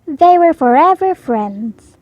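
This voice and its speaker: A woman's voice: high-pitched, animated, takes it slowly, no echo, lo-fi sound. A woman narrating a children's storybook as a read-aloud.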